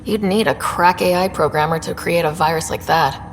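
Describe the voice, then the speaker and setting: An actress playing a young space explorer in a video game, talking in a casual, conversational way. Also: female voice, on the low side, steady tone, a little fast, a little hiss or hum, close to an everyday voice. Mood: relaxed, casual. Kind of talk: acted video game dialogue. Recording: long reverb, music behind.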